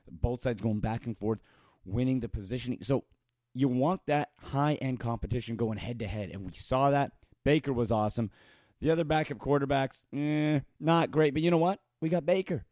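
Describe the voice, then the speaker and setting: A man with a slightly raspy voice hosts a sports podcast, talking eagerly about the team with quick asides and fillers. His voice sits in a middle register, recorded close and dry with no room sound at all. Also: mid-range voice, dark tone, no room sound. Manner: enthusiastic, talks fast with fillers. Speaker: podcast host. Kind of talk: casual sports chat.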